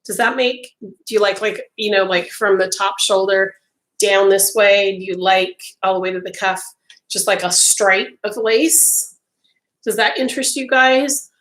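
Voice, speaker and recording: A woman's voice: medium pitch, measured pace, fairly bright tone, low-quality recording. Woman livestreaming from her craft room, voice kinda going, lots of ums, just chatting to viewers on a webcam. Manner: chatty, many ums and restarts, talks with her hands. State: losing her voice. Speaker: livestream host. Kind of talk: casual chat with live viewers. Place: small home craft room.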